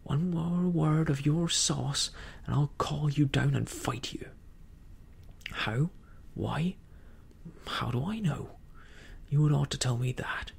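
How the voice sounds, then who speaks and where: A man voicing a crafty, domineering pirate sea cook in a storybook, taunting in rough nautical dialect with a husky, gravelly voice. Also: on the high side, takes it slowly, full character voice. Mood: angry, threatening.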